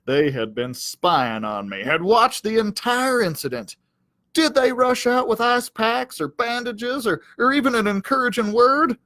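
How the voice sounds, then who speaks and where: A man's voice: extremely high pitch, lively intonation, a little slow, pushed and bright, no room sound, pitched above the performer's own voice, full character voice. A man voicing a self-important, aggrieved cowdog who tells his storybook tale in a pompous, melodramatic, wordy way.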